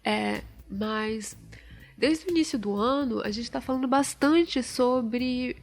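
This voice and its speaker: A woman guest explaining a topic informally, pausing often with fillers as she thinks aloud. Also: a little high.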